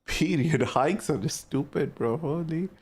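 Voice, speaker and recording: A man's voice: on the high side, unhurried, husky. A young man from India with an Indian accent, chatting casually with his live-stream viewers and reacting to their messages.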